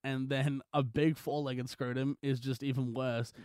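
A man's voice: medium pitch, level tone, unhurried, darkish tone, smooth, no room sound, clean studio sound. A young man co-hosting a podcast in casual banter, joking and exaggerating in slangy, "like"-peppered talk. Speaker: podcast co-host.

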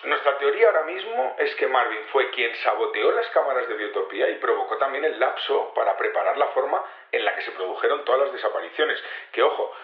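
An actor playing a patient but hesitant security investigator being interviewed in a naturalistic audio drama, explaining things colloquially with repetitions and tag questions. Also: male voice, mid-range voice, roomy sound, narrow, tinny audio, natural voice. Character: male security investigator, patient, hesitant, self-assured in his theory.

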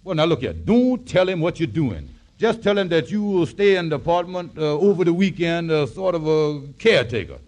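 Fast-talking schemer for 1940s radio comedy: high pitch, very animated, folksy, sly. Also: male voice. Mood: scheming. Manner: blustering, wheedling, folksy ungrammatical speech. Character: scheming, fast-talking male lodge boss and con man. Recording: vintage 1940s radio broadcast.

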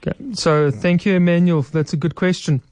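A woman speaks as a guest in a radio studio, her voice mellow and soft-edged. She lays out financial advice in a practical, step-by-step way, with a dry, close sound. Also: on the low side, normal speed, dry recording.

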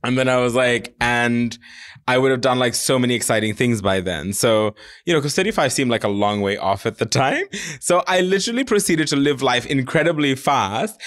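A man's voice: mid-range voice, normal speed, fairly bright tone. A man in his thirties co-hosting a podcast, bantering casually in a campy, theatrical and teasing manner. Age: 30s. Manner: campy, teasing, theatrical, calls people honey and darlings. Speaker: podcast co-host.

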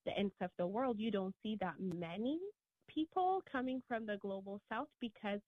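A woman from Ethiopia, a guest author on a radio talk show, answering earnestly and in a measured way with frequent restarts, heard over a remote line. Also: mid-range voice, lively intonation, no room sound. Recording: tinny phone-line sound, dry.

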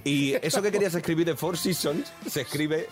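A man from a morning radio show with an accent from Spain, hosting lively banter and listener calls. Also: on the high side, a little fast. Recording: music bed under studio voice.

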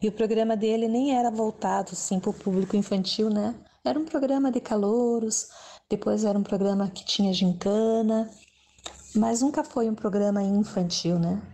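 Reminiscing woman with a mellow voice. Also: mid-range voice, normal speed. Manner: warm reminiscing, many "né" tags. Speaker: former stage assistant and singer. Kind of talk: recorded interview memories.

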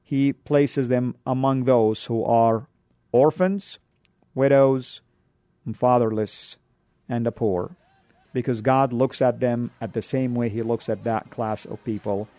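Guy preaching on his radio show, emphatic, pitch jumps up on key words, dry sound. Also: fairly even intonation, slow, mellow, dry recording. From Saudi Arabia. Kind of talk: radio sermon exhortation.

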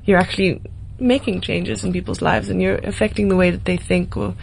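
A woman's voice: fairly low pitch, steady tone, fairly quick, gravelly, a little mellow, a little hiss or hum. A woman in her twenties from Cape Town with a South African accent, speaking earnestly and reflectively as a musician guest in a casual radio interview. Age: 20s. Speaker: singer-songwriter guest.

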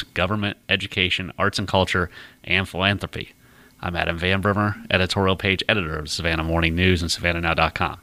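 A man hosting a podcast, reading a measured intro and then interviewing a guest. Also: low-pitched, pushed and bright, dry recording. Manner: measured, read-aloud delivery. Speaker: podcast host, newspaper editor.

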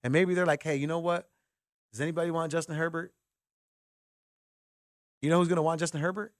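An American man hosting sports talk radio, talking with callers in an informal, animated, conversational way. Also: fairly high pitch, brisk, no echo, studio quality. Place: radio studio. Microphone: close broadcast microphone.

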